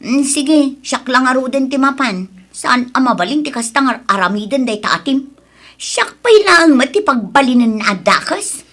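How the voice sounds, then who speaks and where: A woman's voice: sits high, animated, normal speed, a bit bright. An actress playing an earnest, dutiful young Ilocano woman in a radio drama, speaking expressively. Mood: frustrated. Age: young adult.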